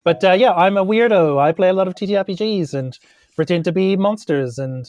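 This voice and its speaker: A man's voice: sits high, a little fast, a little mellow, no echo, lo-fi sound. A man casually introducing himself as a podcast guest player in a rambling, self-deprecating way.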